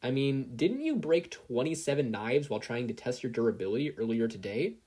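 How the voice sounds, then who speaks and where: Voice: mid-range voice, sing-song, a little fast, dark tone, slightly rough. A performer voicing an assured, easygoing female superhero mentor with a teasing manner in an audio story. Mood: breezy.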